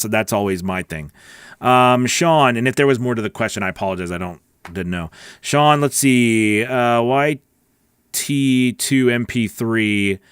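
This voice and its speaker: A man's voice: mid-range voice, a bit bright, clean tone, no room sound, studio quality. A middle-aged man hosting a casual livestream, chatty and rambling in an informal, friendly way as he answers viewers. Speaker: host, voice-over coach. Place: small home recording studio. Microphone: close broadcast mic on boom arm.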